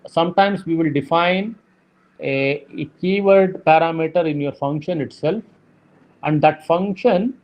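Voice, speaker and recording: A man teaching programming, explaining slowly with many fillers and repeated "okay". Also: high-pitched, muffled recording. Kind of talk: lecture explaining code.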